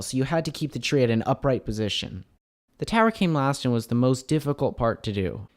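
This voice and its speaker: Enthusiastic man narrating a build showcase. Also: mid-range voice. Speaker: host of a LEGO building channel.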